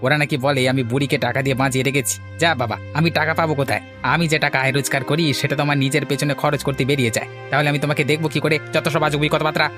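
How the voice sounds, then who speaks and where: Man playing a rapid-fire put-upon young village helper. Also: fairly high pitch, steady tone, music in the background, natural voice. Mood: exasperated. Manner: exasperated, complaining. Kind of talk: cartoon voice acting.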